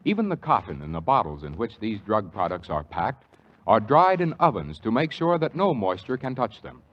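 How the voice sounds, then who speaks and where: A man reading a scripted radio commercial in a smooth, earnest, measured announcer manner. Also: fairly low pitch, very animated. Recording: dull old radio broadcast.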